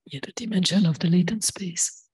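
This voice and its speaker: A woman who has nearly lost her voice, hoarsely and carefully asking a technical question from the audience. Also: lowish voice, moderate pace, a little mellow. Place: large lecture theatre.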